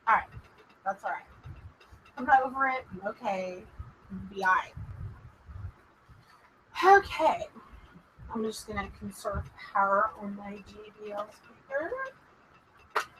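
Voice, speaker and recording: Texan woman, psychic livestream host; unhurried, rambling drawl, bright tone. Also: a little high, a little hiss or hum, low-quality recording. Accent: Texas. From Texas, USA. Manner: chatty and rambling, drawling, addresses viewers as "y'all". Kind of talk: casual livestream chat with viewers.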